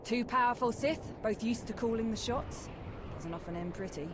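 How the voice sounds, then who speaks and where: An actress playing a loyal, easygoing army major in a video game, with casual phrasing and dry humour. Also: female voice, on the high side, normal speed, background noise, natural voice. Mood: casual, wry.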